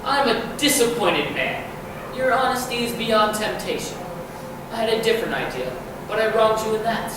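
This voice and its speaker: A woman's voice: fairly high pitch, animated, moderate pace, close to an everyday voice. A woman playing a scheming stranger who makes formal, proud pronouncements in amateur readers' theatre. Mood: conceding. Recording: echoey noisy stage, far from mic.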